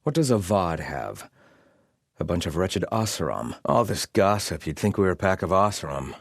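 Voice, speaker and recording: A man's voice: deep voice, big pitch swings, moderate pace, breathy edge, no echo, studio quality, exaggerated voice. A man playing a wary, devout soldier of a sun-worshipping army in a video game, speaking sternly in formal, clipped phrases. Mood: wary, discontented. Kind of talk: scripted video game voice lines.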